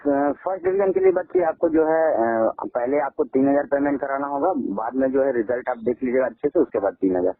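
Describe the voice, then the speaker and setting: Male phone caller, husky, very animated intonation, coaxing sales patter. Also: mid-range voice, fairly quick, hardly any pauses. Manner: coaxing, often addresses listener as child. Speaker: phone caller selling exam results. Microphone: phone line. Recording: echoey, tinny phone call recording.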